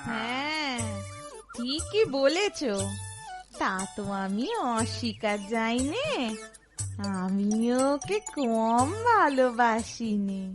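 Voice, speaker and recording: A woman's voice: fairly high pitch, big pitch swings, very slow, full character voice. A woman playing a lonely, coaxing village housewife in a cartoon, with rustic speech and a pleading manner. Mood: gently agreeing. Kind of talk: cartoon voice acting, rustic dialogue. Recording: music behind the voice.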